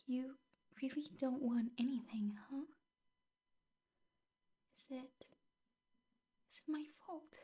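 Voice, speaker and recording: Casting: actress as obsessive yandere woman teacher for ASMR roleplay; soft, dark-toned, very unhurried, doting and coaxing. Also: fairly high pitch, exaggerated voice. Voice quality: soft, breathy, often whispering. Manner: slow, doting, coaxing with hesitant pauses. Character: possessive, lovesick yandere woman teacher coaxing her captive.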